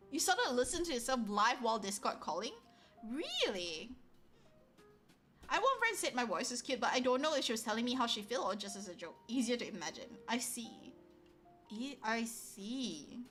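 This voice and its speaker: A woman's voice: fairly high pitch, big pitch swings, moderate pace, long pauses. A woman livestreaming and chatting casually with her viewers, rambling with lots of fillers and poking fun at herself. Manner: rambling, lots of likes and ums, self-deprecating. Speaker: livestreamer reading chat. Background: soft background music.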